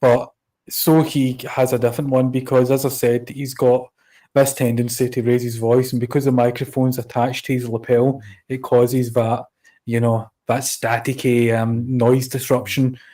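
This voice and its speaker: A man's voice: mid-range voice, level tone, normal speed, warm tone, recorded from a distance. Rambling male host at home. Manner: rambling, filler-heavy host talk. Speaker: show host. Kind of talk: casual chat. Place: house, on a sofa.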